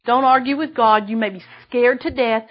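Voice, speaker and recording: A woman preaching a sermon with a shrill voice, emphatic and repeating phrases for stress. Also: fairly even intonation, moderate pace, lo-fi sound.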